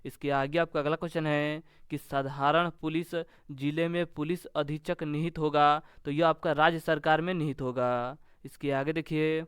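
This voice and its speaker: Male exam tutor, very clear smooth voice, even intonation, reading out questions and answers in an instructional way. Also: a little high, dry recording, studio quality. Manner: instructional, repetitive set phrasing. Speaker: exam-coaching teacher. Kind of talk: question-and-answer quiz lesson.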